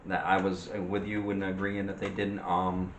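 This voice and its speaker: A man co-hosting a casual movie and TV debate, disagreeing in halting, hesitant phrases. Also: low-pitched, monotone. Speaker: co-host of a movie discussion show. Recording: echoey room with faint background noise.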